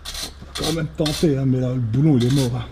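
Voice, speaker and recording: A man's voice: mid-range voice, fairly even intonation, moderate pace, very noisy. A man narrating a casual how-to repair, easygoing and shrugging off setbacks, working in a workshop. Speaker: DIY mechanic.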